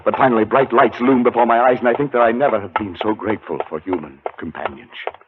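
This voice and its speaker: An actor playing a frightened scholar in a 1940s radio suspense drama, narrating his terror in a dramatic, deliberate way that grows halting with nerves. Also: medium pitch, background noise, close to an everyday voice. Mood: mounting terror. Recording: muffled 1940s radio broadcast with music.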